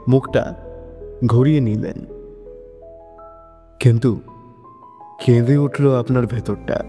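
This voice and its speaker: A man with a West Bengal accent narrating a scripted love story, expressive and conversational, speaking straight to listeners. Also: mid-range voice. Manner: expressive, conversational, with rhetorical questions. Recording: long reverb with music behind.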